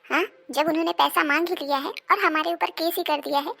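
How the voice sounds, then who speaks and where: A woman acting an emphatic, dramatic role in a Hindi animated family melodrama. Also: extremely high pitch, fairly quick, vocal fry, exaggerated voice. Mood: outraged. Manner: emphatic, dramatic, scolding and pleading delivery. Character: women of a quarrelling Indian family: daughter, mother, daughter-in-law.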